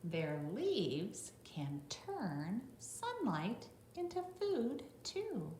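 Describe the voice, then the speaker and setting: A woman's voice: on the high side, huge pitch swings, extremely slow, a little mellow. A middle-aged woman teacher reading a picture book aloud to young children, adding instructive asides and checking in with little questions. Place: classroom, indoors. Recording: roomy echo, faint background noise.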